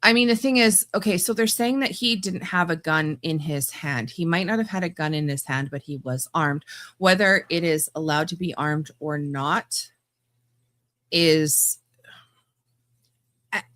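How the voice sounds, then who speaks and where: A woman with a notably low voice hosts a podcast, speaking bluntly and casually. She stumbles into restarts and laughs at her own asides. The sound is close and dry. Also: a little slow, a bit bright, no room sound. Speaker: podcast host. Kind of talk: casual opinionated commentary.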